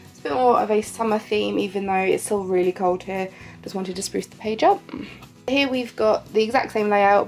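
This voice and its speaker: A woman's voice: medium pitch, a little mellow. A woman with a blocked nose and a nasal sound, chatting casually and poking fun at herself as she walks through her pages. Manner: chatty, self-deprecating, laughs lightly at herself. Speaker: vlogger.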